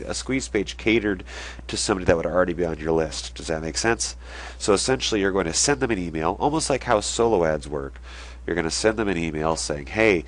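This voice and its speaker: A young man with a stuffy nose from a cold, explaining marketing in a casual video while sketching on a board. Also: low voice. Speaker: online marketing video host.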